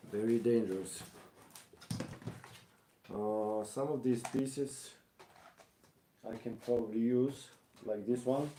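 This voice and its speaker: A man from Bulgaria with a Bulgarian accent, giving a casual live craft demonstration, haltingly thinking aloud as he works. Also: mid-range voice, reverberant, noisy background. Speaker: craftsman hosting a live stream.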